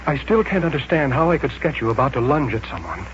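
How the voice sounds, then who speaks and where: An American actor playing an agitated, obsessed man in a 1940s radio drama, speaking haltingly and insistently. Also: medium pitch, very animated, a little hiss or hum. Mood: troubled, uneasy. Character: agitated, obsessed man haunted by a strange premonition. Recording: dull 1940s radio recording, loud music.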